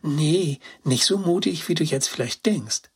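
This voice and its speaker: An earnest young dog girl from a children's storybook, voiced by a man, speaks with childlike excitement. The voice is very breathy and husky, with lively, swinging intonation. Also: fairly high pitch, a little slow, heavily acted. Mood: earnest, excited. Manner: childlike, excited storytelling with naive colloquial words. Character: Gretine, young female toy-rescuing dog, earnest and compassionate.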